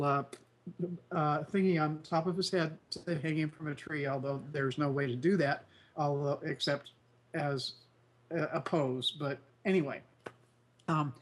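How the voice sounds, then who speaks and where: Congested male co-host, higher voice, nasal and croaky, meandering delivery. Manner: rambling, many fillers and restarts. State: congested, recovering from flu. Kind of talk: casual news chat show.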